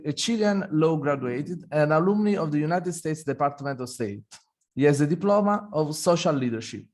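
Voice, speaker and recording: A man's voice: fairly high pitch, darkish tone. A man hosting an online meeting, reading a formal welcome speech with a few restarts. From Italy. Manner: reads prepared remarks, occasional restarts. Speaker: event host.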